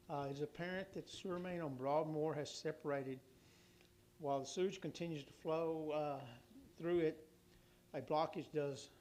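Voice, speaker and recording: A hoarse man giving a city council utility report, rambling and halting with many restarts and fillers. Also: fairly high pitch, darkish tone, slight background noise.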